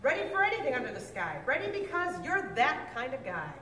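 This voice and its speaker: A woman reading a picture book aloud with lively, expressive delivery, now and then stumbling and correcting herself. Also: brisk, slight background noise. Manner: expressive storybook reading with big pitch swings. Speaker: reader. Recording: echoey room, mic at a distance.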